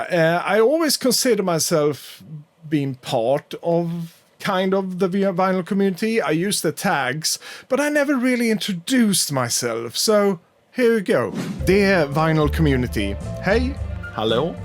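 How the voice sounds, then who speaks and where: Chatty man with a higher voice. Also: sing-song, unhurried, soft background music. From Sweden. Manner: chatty and rambling, frequent ums and uhs. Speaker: vinyl collector YouTuber. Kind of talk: casual solo vlog to camera.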